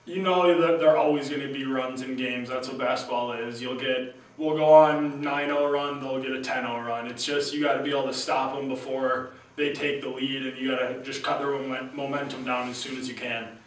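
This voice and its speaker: A young man, a college basketball player, giving relaxed, casual postgame answers. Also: mid-range voice, fairly quick. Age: young adult. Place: small room at a team backdrop. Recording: very echoey room, distant mic, faint hiss.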